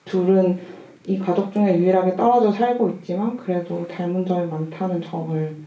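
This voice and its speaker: A distant, slightly muffled recording in a roomy space carries a woman with a mellow voice. She is a film director at a Q&A, giving long, reflective answers. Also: fairly low pitch, steady tone, a little fast. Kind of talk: audience Q&A talk. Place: cinema hall at a festival Q&A.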